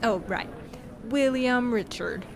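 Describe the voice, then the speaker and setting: A woman's voice: fairly high pitch, slow pace, creaky, a little hiss or hum. A woman playing a genial, long-winded, folksy male cowboy posse leader in a western audio drama. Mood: nostalgic, rambling. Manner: rambling, folksy, theatrical storytelling.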